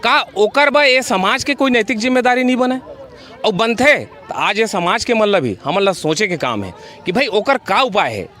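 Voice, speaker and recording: High, bright, emphatic Chhattisgarhi man. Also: very animated, moderate pace. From Chhattisgarh, India. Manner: emphatic, repeats phrases. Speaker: social activist, NGO worker. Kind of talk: impassioned interview answers.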